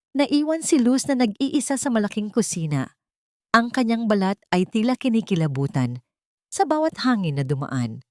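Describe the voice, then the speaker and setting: Low-voiced woman narrating drama. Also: dry recording, studio quality. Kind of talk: dramatic scripted story narration.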